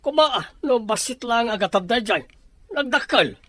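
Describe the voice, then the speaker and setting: A man's voice: extremely high pitch, animated, unhurried, pushed and bright, heavily acted. A man with a Filipino accent playing an indignant husband and father in an Ilocano audio drama.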